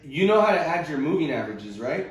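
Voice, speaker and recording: A man's voice: fairly high pitch, sing-song, fast, heavy reverb, low-quality recording. A man coaching a group on a conference call, explaining things informally and conversationally with casual slang. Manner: casual and conversational, uses slang like bro and bud.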